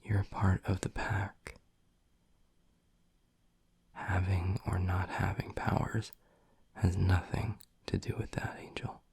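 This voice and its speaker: A man playing a tender, gruff young werewolf boyfriend in an intimate audio roleplay, speaking in a hushed, husky, earnest way close to the mic. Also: level tone, in a whisper, exaggerated voice. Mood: tender, reassuring. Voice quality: low, gravelly, husky, breathy. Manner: hushed, slow, earnest, halting. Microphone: close, dry microphone.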